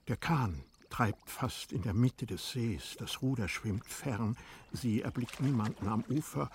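A man's voice: medium pitch, slight background noise. A man narrating a literary radio drama in a dramatic storytelling style.

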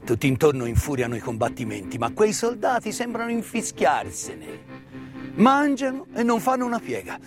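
Man, theatrical wartime narration, slightly high pitch, emphatic pauses, hushed phrasing, music behind. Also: huge pitch swings. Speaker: stage actor and storyteller. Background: live musical accompaniment.

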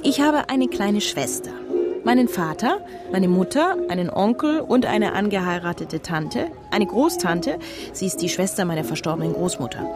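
An actress plays a candid young woman of a wealthy, eccentric family in a radio drama. Her voice sits at an average female pitch, over very prominent music. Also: animated, normal speed, close to an everyday voice. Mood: playful, confiding. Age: young adult. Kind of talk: radio play dialogue.

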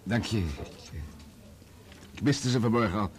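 Actor as low-voiced, evasive journalist. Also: male voice, unhurried, lightly acted. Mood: defensive, apologetic. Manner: reasoning, placating. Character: evasive, self-excusing male journalist, caught betraying friends. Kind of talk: Dutch radio play acting.

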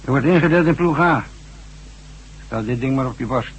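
A man's voice: medium pitch, moderate pace, close to an everyday voice, rough recording. A male actor plays a stern official screening newcomers in a radio play. His mid-range voice is curt, interrogating and distrustful. Faint hum and noise sit behind it on the old recording. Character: stern, suspicious official screening new workmen. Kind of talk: Dutch radio drama acting.

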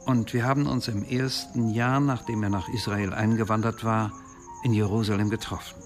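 Ein Schauspieler spielt im Hörspiel einen bedrückten, nachdenklichen Akademiker, der behutsam berichtet. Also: male voice, fairly low pitch, fairly even intonation, normal speed, over music, natural voice. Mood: uneasy, reflective. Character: troubled, reflective male academic, recalling a colleague. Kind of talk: radio drama dialogue.

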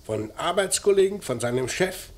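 An actor playing a probing, businesslike police inspector in a mid-century radio crime drama, asking short, clipped questions. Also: male voice, high-pitched, animated, normal speed, darkish tone. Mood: probing, insistent. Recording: noisy old radio drama recording.

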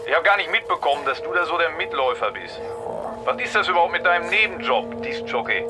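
Male schemer, radio drama: curt and pushy, voice a little high. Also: very animated, quick pace, loud music behind the voice. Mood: needling, pressing. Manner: curt, needling, bossy. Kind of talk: naturalistic German radio drama acting.

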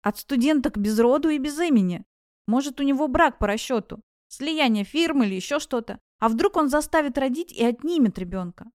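Woman acting a worried young female student, higher pitch, earnest, confessional, vulnerable, audiobook dialogue, dry studio sound. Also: lively intonation, moderate pace, dry recording, lightly acted. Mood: distraught. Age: young adult. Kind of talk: audiobook character voice.

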